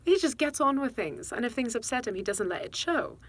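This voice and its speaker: An actress playing a regretful, quick-tempered mother confessing to her estranged son, in a halting dramatic monologue. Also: a little high, animated. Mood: defensive. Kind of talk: dramatic monologue, self-taped audition.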